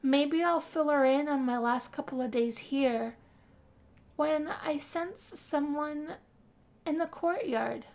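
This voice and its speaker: A woman's voice: high-pitched, slow, darkish tone. A woman playing a homesick, dramatic young female music student who complains theatrically in a game role-play narration. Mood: grumbling, musing.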